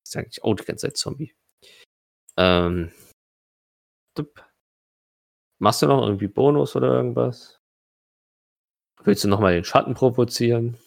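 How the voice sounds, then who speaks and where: A man's voice: medium pitch, a little slow, creaky, pauses often, dry recording. A man narrating tabletop combat as game master, hesitant and often correcting himself.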